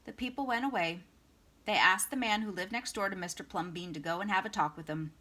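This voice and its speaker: A woman reading a children's picture book aloud as a storytime reader, with expressive, dramatic emphasis. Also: medium pitch, brisk.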